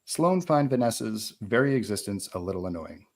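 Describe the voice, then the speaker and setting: Low-voiced man narrating a screenplay. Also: very animated, a little fast, darkish tone. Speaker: narrator reading stage directions. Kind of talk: scripted narration of a screenplay, live table read.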